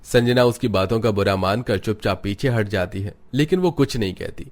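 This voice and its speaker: A man's voice: on the low side, moderate pace, darkish tone. A man reading scripted narration for a moral cartoon story.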